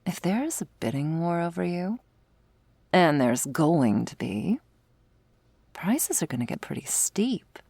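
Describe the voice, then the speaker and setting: Woman voicing a female vampire, low pitch, husky and breathy, smug, predatory, taunting, ASMR roleplay, close dry sound. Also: big pitch swings, slow pace, long pauses, warm tone, dry recording, full character voice. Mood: cool taunting. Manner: teasing, drawling, breathy asides.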